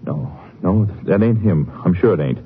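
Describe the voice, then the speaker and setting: A nervous country man with a low voice, halting and evasive. The folksy radio western performance comes through thin and faintly noisy. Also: animated, narrow, tinny audio. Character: nervous, evasive rural Texan man being questioned. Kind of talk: 1950s radio western acting.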